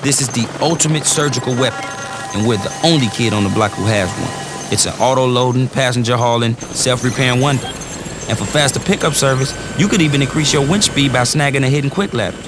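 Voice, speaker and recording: A man's voice: medium pitch, brisk, music in the background, lightly acted. A man playing a cocky wisecracking briefing officer. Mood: upbeat. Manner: slangy, cocky briefing patter. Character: brash male military briefing officer, wisecracking. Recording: loud noise under game audio.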